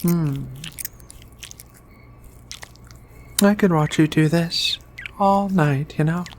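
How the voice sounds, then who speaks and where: A gentle giant alien woman, played by a female performer, speaks softly in a low register for an ASMR roleplay. She takes her time, tender and bookish, with hesitant pauses and murmured hums. Faint noise sits behind the voice. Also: natural voice. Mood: tender, admiring. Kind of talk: soft-spoken ASMR roleplay.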